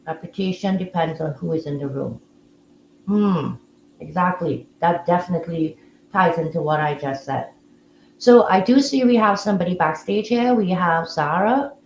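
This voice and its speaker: A woman hosting a casual live audio room, talking in a relaxed, musing way with frequent fillers. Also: fairly low pitch, moderate pace, echoey room, slight background noise, low-quality recording. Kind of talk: casual live-stream chat.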